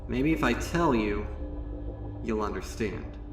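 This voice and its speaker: A man's voice: on the low side, animated, slow. A man voicing a traumatized young female unicorn student in a horror story, stammering, pleading and bursting out in bitter indignation. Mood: desperate, bitter. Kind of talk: dramatic first-person horror monologue. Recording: roomy, distant voice over music.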